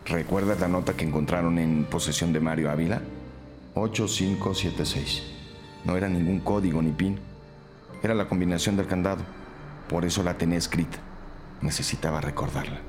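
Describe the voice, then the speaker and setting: An actor playing a seasoned, menacing police detective, cool and deliberate, in a crime audio drama. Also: male voice, low voice, steady tone, music in the background. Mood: deducing.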